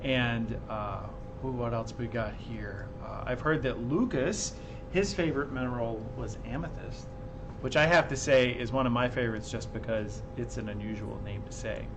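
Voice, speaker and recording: Man co-hosting over noisy, rumbling background. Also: moderate pace. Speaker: co-host relaying chat comments. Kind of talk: casual chat. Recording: clear background noise with low rumble.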